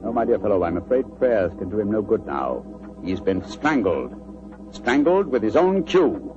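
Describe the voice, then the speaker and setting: A British actor playing a shrewd, commanding gentleman detective in a 1940s radio drama, with an urbane, clipped delivery. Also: on the low side, sing-song, moderate pace, loud music behind the voice. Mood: grave. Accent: British English. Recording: dull 1940s radio recording.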